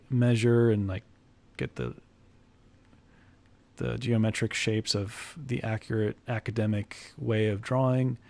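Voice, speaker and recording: A young man chatting casually with viewers on a drawing livestream, answering questions in a loose, halting way and restarting phrases as he sketches at his desk. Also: mid-range voice, creaky, darkish tone. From Iraq, grew up in the United States. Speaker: art streamer. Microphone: headphones, desk mic.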